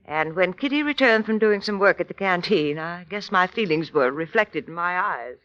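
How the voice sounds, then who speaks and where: An actress playing an anxious woman reluctantly helping the resistance, worrying and expressive, in an old-time radio drama. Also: lowish voice, moderate pace, lo-fi sound. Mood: reflective narrating.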